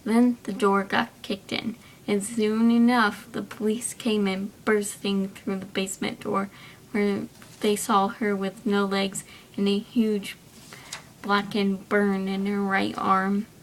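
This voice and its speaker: A woman's voice: flat delivery, takes it slowly, low-quality recording. A woman doing an amateur reading of a story, plainly, with the occasional stumble. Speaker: video creator reading own story. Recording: rumbly background noise.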